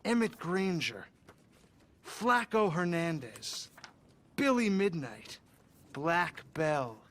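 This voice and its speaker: Eager nervous writer, high and very lively, faint hiss behind. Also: male voice, vocal fry, full character voice. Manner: excitable, earnest, talks fast in bursts. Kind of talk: video game cutscene acting.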